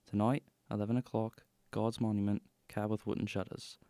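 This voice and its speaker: Man acting a creaky, dry-witted gentleman detective. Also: fairly low pitch, little pitch movement, moderate pace, no echo, natural voice. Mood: shrewd. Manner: dry, clipped, wry. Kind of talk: radio drama acting.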